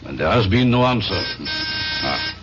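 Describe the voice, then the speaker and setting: An actor playing a stern, suspicious French police inspector with a French accent, speaking formally in an old radio drama. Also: male voice, lowish voice, very animated, unhurried, over loud music. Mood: stern, insistent. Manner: formal, clipped, insinuating. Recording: dull, noisy old radio recording.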